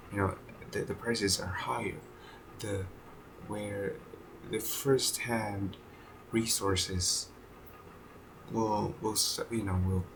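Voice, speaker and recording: A man in his twenties thinking aloud in a casual video journal, halting with many fillers, restarts and casual swearing. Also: lowish voice, vocal fry, noisy background. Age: 20s. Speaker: vlogger.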